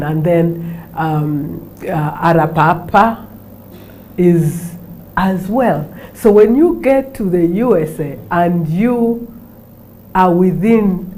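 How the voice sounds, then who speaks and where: A woman's voice: lowish voice, a little mellow, slight background noise, poor audio. A Ugandan woman, a fashion designer, answering interview questions with many restarts and fillers. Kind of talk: studio interview.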